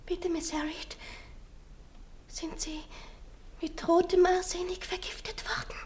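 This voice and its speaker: A woman's voice: extremely high pitch, flat delivery, measured pace, darkish tone, in a whisper. A woman playing a timid little girl medium who asks hesitant questions in a 1950s German radio play. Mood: timid, hushed. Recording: distant 1950s radio recording.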